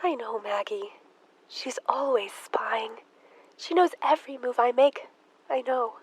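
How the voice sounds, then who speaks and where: Fluttery, high-voiced Miss Emma, a fretful spinster, acted by a woman. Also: measured pace, breathy edge, no echo, poor audio. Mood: weary, tense. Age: young adult. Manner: flustered, fluttery, easily agitated. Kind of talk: stage play acting in an audio drama.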